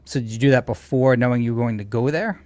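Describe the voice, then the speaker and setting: Guy co-hosting a stream, just chatting, opinionated and teasing, normal pitch, dry room-free sound. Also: quick pace, a little mellow, dry recording. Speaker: co-host or regular guest on a livestream. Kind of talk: casual chat.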